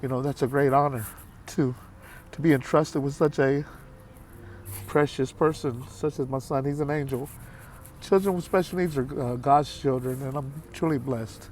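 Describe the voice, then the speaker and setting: Late-fifties man, vlogger, heartfelt and halting, mid-pitched voice, faint background noise. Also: steady tone, darkish tone. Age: 50s. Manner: halting, heartfelt, repeats himself with many fillers. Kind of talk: candid talk to camera.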